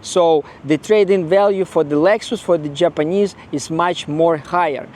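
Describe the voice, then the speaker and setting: A man's voice: a little high, steady tone, moderate pace, a little mellow, slight background noise. A man with a Ukrainian accent talking casually in a vlog, blunt and regretful, often repeating himself. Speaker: car owner vlogger.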